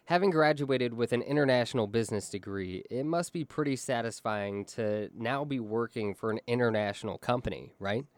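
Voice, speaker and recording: A man interviewing employees, asking smooth prepared questions. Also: mid-range voice, normal speed, hardly any pauses, no echo.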